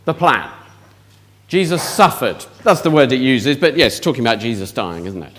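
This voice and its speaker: Animated man leading a children's talk. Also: mid-range voice, moderate pace. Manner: animated, questions the audience. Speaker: children's talk leader at church.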